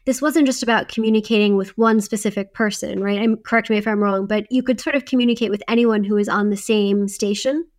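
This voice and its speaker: A woman's voice: mid-range voice, steady tone, brisk, few pauses, a little mellow. A woman co-hosting a podcast, asking conversational questions in an inquisitive way and seeking plain explanations. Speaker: podcast co-host. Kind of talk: conversational interviewing.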